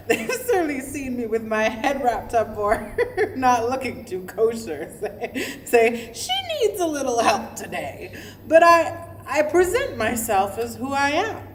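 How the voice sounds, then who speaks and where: Verbatim theatre: optimistic woman pastor, acted, animated, self-assured, mid-range voice, noisy live room. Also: medium pitch, close to an everyday voice. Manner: animated, restarts phrases, chuckles between thoughts. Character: optimistic, smiley woman pastor, unapologetically herself. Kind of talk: verbatim theatre monologue, staged reading.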